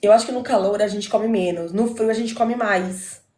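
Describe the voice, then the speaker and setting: A woman from São Paulo hosting a live chat over a video call, affectionate and quick to laugh. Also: medium pitch, reverberant. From São Paulo, Brazil. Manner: warm, giggly, affectionate. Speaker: host.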